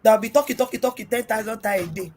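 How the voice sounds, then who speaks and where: A middle-aged woman with a Nigerian accent and a big, loud voice, hosting a casual relationship talk and telling stories emphatically. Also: on the high side, fast, a little hiss or hum. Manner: emphatic, storytelling, mixes in pidgin. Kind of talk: casual group discussion.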